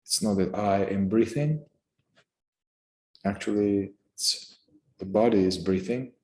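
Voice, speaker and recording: Calm guy w a lowish voice leading guided meditation, takes his time, short phrases and pauses. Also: rough recording. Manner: calm, unhurried, pausing between short phrases. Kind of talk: guided meditation, unscripted instruction.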